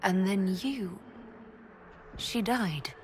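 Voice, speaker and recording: A woman's voice: medium pitch, drawn-out words, slight background noise. An actress playing a young, questioning female hero in a video game. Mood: searching, realising.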